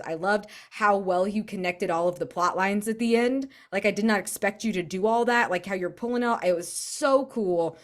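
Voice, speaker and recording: Woman gamer just gushing about the game, effusive, mid-pitched voice, casual chat. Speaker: tabletop role-playing game player.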